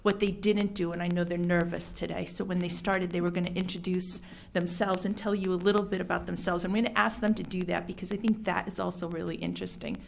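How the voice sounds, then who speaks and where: Gravelly-voiced woman administrator. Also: lowish voice, flat delivery, fast, hardly any pauses, slight background noise. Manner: enthusiastic, many fillers. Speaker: school district administrator. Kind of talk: meeting presentation.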